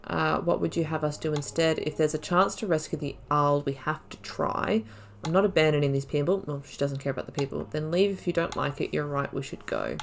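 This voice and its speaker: A woman's voice: low-pitched, fairly quick, gravelly, slight background noise. A woman giving casual let's-play commentary, thinking aloud reflectively and reading out dialogue as her character. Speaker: gaming YouTuber.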